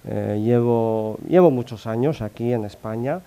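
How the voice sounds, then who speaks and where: A middle-aged Italian man with an Italian accent delivers a conference presentation. His voice is mellow and relaxed, and his delivery is halting, full of fillers and restarts. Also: medium pitch. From Italy. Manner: hesitant, many fillers and restarts. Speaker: conference speaker. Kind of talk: conference talk.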